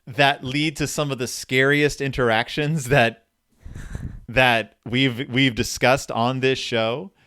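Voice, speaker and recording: A man hosting a casual discussion, retelling stories and reading passages aloud in an animated storyteller's manner. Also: mid-range voice, takes it slowly, clean tone, no room sound. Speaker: podcast host discussing horror fiction.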